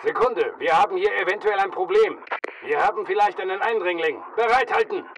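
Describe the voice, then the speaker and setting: Casting: tough mercenary soldier for shooter game, rough fry, clipped commanding chatter, noisy recording. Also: male voice, high-pitched, a little fast, recorded from a distance. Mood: low, wary. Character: armed mercenary soldier, tough, aggressive and disciplined. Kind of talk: military battle chatter, short barked commands.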